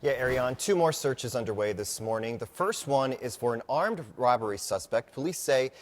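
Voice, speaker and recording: A man's voice: medium pitch, lively intonation, moderate pace. An American man presenting news alerts and updates on television in a scripted broadcast delivery.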